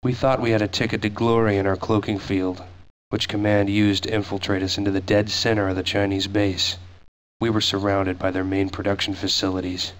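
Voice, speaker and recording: A man playing a grim veteran soldier, narrating a scripted war memoir in a solemn, measured way for a game. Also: lowish voice, little pitch movement, a little hiss or hum, lightly acted, low-quality recording. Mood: grim, reflective.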